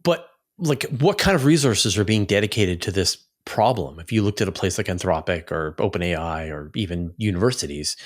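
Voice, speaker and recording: A man's voice: low voice, lively intonation, fairly quick, hardly any pauses. A man hosting a casual interview, feeling his way through long, thoughtful questions. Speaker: host, interviewer.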